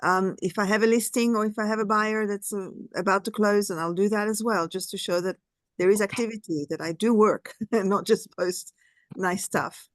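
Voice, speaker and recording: A woman's voice: mid-range voice, steady tone, normal speed, no echo. A middle-aged woman with a French accent, a realtor, chatting candidly on a video call and searching for words now and then. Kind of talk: casual meeting conversation.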